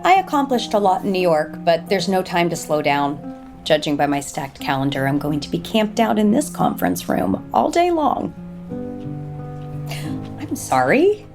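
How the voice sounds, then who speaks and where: A woman's voice: lowish voice, big pitch swings, a little slow. An actress playing a driven, busy businesswoman and guarded company co-owner in an audio soap opera, polite and businesslike. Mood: cordial. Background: music under the dialogue.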